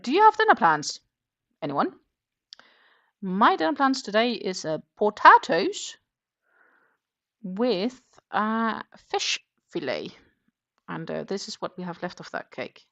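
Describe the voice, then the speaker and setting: A woman's voice: mid-range voice, huge pitch swings, slow pace, pauses often, fairly bright tone. A woman hosting a casual crochet livestream, chatting, reading out viewer comments and counting her stitches aloud. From Denmark. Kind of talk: casual chat while crocheting.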